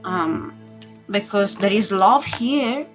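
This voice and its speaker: A woman speaks at a slow pace over prominent background music. She sounds like a spiritual coach doing an informal live card reading, hesitating and circling back over words as she talks to viewers. Her pitch sits in an ordinary female range. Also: expressive. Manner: hesitant, searching, often repeating words. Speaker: tarot reader and spiritual coach hosting a live session. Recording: loud music under the voice.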